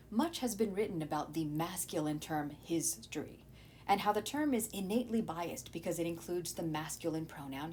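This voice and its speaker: Female teacher for lesson narration, very lively intonation, explanatory and direct to students, some background noise with rumble. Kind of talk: scripted educational narration.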